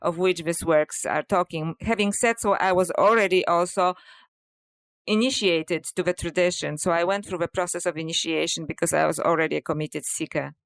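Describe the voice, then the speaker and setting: A woman with a Polish accent, a guest in a podcast interview, explaining things in a measured, reflective way with frequent "you know.". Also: on the low side, fairly even intonation, gravelly. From Poland. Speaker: author and scholar, podcast guest. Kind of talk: interview conversation.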